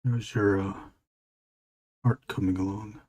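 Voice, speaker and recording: Husky-voiced man chatting while drawing. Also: on the low side, fairly even intonation, measured pace, a little mellow. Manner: rambling, talks to chat readers. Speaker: illustrator streaming his drawing. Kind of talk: casual live-stream chat while drawing.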